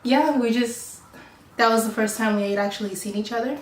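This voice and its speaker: A woman's voice: a little high, fairly quick. A woman in her early twenties from Mexico, co-hosting a casual Q&A vlog while sick, chatty and giggly. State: sick, voice affected. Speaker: vlog co-host. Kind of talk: casual Q&A chat. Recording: echoey room, far from the mic.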